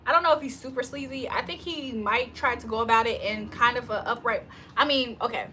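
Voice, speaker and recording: A woman's voice: on the high side, brisk, bright, forceful tone, slight background noise. A woman doing a casual livestream tarot reading, chatting with viewers in slangy talk and reading their comments aloud.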